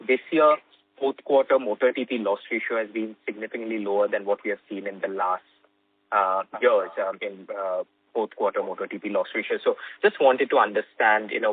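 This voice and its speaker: A man speaks as a financial analyst, putting questions politely and a little haltingly on a conference call. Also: on the low side, slightly rough, no room sound. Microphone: phone. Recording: narrow, dry phone line.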